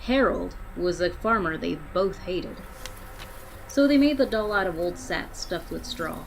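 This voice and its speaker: Low-voiced woman reading a scary story, noisy recording. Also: animated, a little slow. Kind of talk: dramatic scary-story narration. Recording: clearly noisy background.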